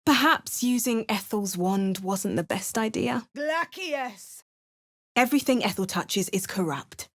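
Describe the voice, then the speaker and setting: A woman voicing a young adventuring spellcaster in video game voice lines, with dry, wry asides and crisp incantations. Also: a little high, animated, unhurried.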